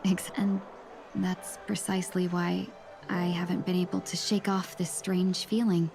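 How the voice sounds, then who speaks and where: A poised, mysterious fox-eared woman from a video game, speaking in a soft, measured, courteous way. Her voice sits slightly low. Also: flat delivery, creaky, music in the background.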